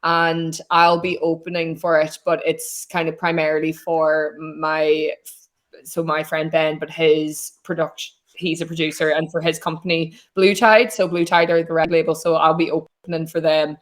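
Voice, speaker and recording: A young Irish woman from Donegal with a naturally deep voice, chatting as a singer-songwriter guest on a video call. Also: little pitch movement, moderate pace. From Donegal, Ireland. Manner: chatty, rambling, self-deprecating. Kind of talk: casual chat.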